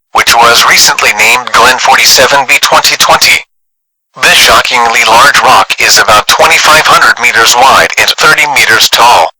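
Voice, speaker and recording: A man playing a grave space agency official, giving a formal, measured emergency announcement. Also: mid-range voice, close to an everyday voice, low-quality recording. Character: grave male space agency official delivering a solemn televised warning.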